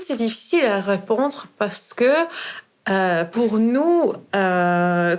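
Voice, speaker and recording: A woman in her thirties from Iran with a Persian accent, a podcast guest speaking French as a second language, often pausing and searching for words. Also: medium pitch, darkish tone. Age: 30s. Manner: hesitant, searching for words, many fillers. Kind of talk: casual interview.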